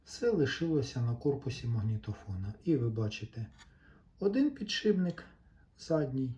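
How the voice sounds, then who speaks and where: Man explaining, mellow, roomy sound. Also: medium pitch, big pitch swings, normal speed. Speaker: repair tutorial presenter. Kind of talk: unscripted hands-on demonstration. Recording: roomy echo, faint background noise.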